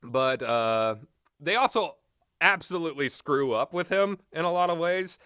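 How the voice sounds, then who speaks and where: A man rambles through blunt, casual commentary in a bright, forward voice. His pitch swings around a lot as he halts, restarts and fills gaps while thinking. The recording is close and dry. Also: animated, clean tone, no echo. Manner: hesitant, halting, many filler words and trailing thoughts. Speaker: host of a video game talk.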